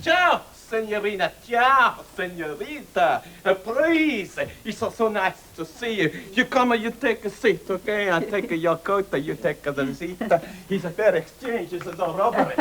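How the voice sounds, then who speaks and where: A man playing a flustered, excitable restaurateur in a children's slapstick comedy, exasperated and repeating himself. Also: very high-pitched, normal speed, background noise. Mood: fawning waiter act. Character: flustered, excitable male restaurateur posing as Italian waiter.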